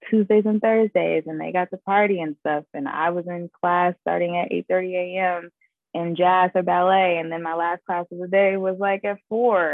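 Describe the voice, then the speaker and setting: A young woman talks through her acting training in an interview, lively and laughing often. Her voice sits low and gravelly, and it comes over a dry, tinny phone line. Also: no echo. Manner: animated storyteller, laughs often, says "like" a lot. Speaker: guest actress. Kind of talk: casual interview chat.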